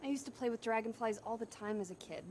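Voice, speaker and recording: A woman acts out a resourceful young heroine in a video game. Her voice is fairly high and soft-spoken, with an earnest manner. There is clear background noise around it. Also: quick pace, lightly acted. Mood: earnest, thoughtful. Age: young adult.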